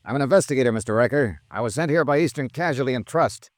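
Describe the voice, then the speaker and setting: An American actor playing a tough, wry insurance investigator in a 1950s radio detective drama, with a clipped, probing delivery. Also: male voice, mid-range voice, expressive, quick pace, no room sound, studio quality, lightly acted. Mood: businesslike. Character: tough, wry, dogged male insurance investigator narrating his case. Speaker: investigator and narrator. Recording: restored 1950s radio recording.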